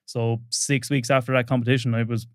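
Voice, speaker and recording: A man's voice: mid-range voice, little pitch movement, a little fast, no echo, clean studio sound. A young man from rural Ireland with a rural Irish accent, an easygoing guest telling stories in a casual podcast chat. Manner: easygoing storyteller, casual swearing.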